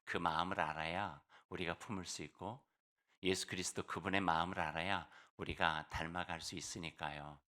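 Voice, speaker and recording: A man preaching in a very low voice that still has a bright, forceful edge. He is hoarse from a cold. He talks to the congregation in an easygoing way, slowing down on key phrases. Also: fairly even intonation. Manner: warm conversational preaching with fillers and slow emphatic phrases. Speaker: pastor. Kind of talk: sermon.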